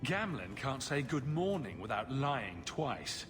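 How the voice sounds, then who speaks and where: Wry, animated male adventurer. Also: medium pitch, slow, music in the background. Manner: sarcastic, dry-witted. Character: wry, sardonic young male adventurer hero.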